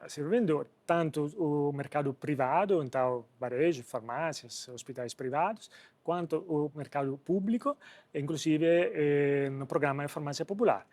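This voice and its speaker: Italian-accented man, higher-pitched voice, halting delivery. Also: a little mellow, dry recording. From Italy. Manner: halting, hesitant delivery with repeated searching for words. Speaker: company president, interview guest. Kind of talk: interview answers on business.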